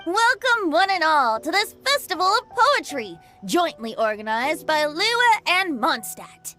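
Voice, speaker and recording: A woman's voice: extremely high pitch, sing-song, measured pace, bright, forceful tone, faint music behind, exaggerated voice. A woman voicing a breezy, lyrical, boyish young male bard in a fantasy video game. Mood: cheerful, welcoming.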